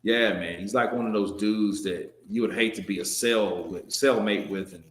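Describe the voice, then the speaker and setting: A man's voice: on the low side, moderate pace, a bit bright. A man hosting a live boxing stream, reading viewer comments and answering them in loose, joking talk that swears now and then and trails off into restarts. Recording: roomy echo.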